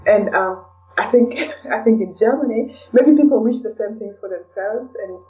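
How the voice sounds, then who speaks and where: A woman's voice: fairly high pitch, moderate pace. A woman speaking as an interview guest in a chatty, conversational way, often adding "you know". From Kenya. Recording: dull, distant lo-fi microphone.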